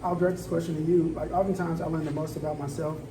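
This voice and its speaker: A man's voice: on the high side, flat delivery, rapid-fire, background noise. A man hosting a casual discussion who asks laid-back questions and often affirms his guests. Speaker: host. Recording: roomy, distant mic.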